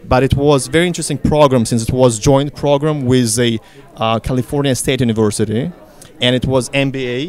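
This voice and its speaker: Young man, thick Russian accent, talkative, steady mid-pitched voice, loose interview chat. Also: a little fast, hardly any pauses. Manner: talkative, fills speech with "you know". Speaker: interviewee, real estate broker. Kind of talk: casual interview.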